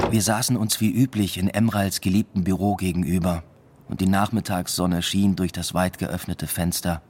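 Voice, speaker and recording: Low-voiced, reflective male academic. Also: darkish tone. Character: reflective male academic narrating his everyday life. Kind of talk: first-person radio drama narration and dialogue.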